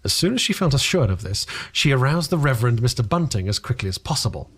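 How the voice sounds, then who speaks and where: A man reading fiction aloud as a dramatic audiobook narrator, deliberate and expressive, with the occasional stumble of a single take. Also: medium pitch, slightly rough.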